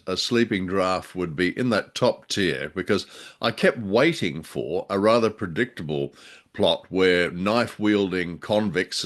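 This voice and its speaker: Male podcast co-host, lowish pitch, conversational review style, measured explanatory delivery.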